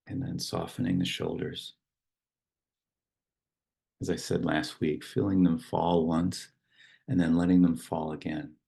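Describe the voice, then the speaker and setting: A man leading a guided meditation and reading verse, speaking slowly and softly with long pauses. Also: low-pitched, lively intonation, creaky, slightly rough. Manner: slow, unhurried, soft instruction with long pauses. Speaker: meditation teacher.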